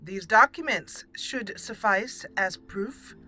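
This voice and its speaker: A woman voicing a haughty, stern elf mage in fantasy roleplay, measured and imperious. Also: mid-range voice, bright, forceful tone, faint music behind.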